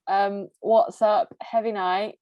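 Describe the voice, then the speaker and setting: A woman co-host with Greek as her first language, from a half Greek and half English background, talks bluntly and laughs often. Her voice sits at an ordinary pitch and sounds close and dry, without any room around it. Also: fairly even intonation, drawn-out words, no room sound, muffled recording. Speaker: podcast co-host. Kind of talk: casual chat reading out messages.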